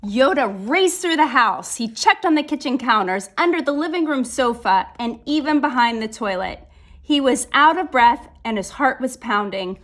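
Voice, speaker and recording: A woman reading a children's picture book aloud, phrasing deliberately with dramatic emphasis. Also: high-pitched, animated, a bit bright. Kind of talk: animated children's story read-aloud.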